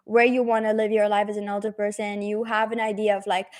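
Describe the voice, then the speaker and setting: A woman's voice: mid-range voice, little pitch movement, brisk. A woman giving a pick-a-card tarot reading straight to the viewer. She rambles and circles back, full of fillers and restarts, and speaks in a reassuring, direct way. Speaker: tarot reader.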